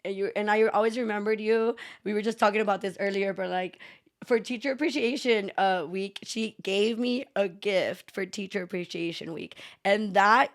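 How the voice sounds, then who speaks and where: A woman's voice: mid-range voice, normal speed. A young woman from El Paso, a former teacher and guest on a podcast, talking casually, laughing often and swearing freely in a living room. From El Paso, Texas.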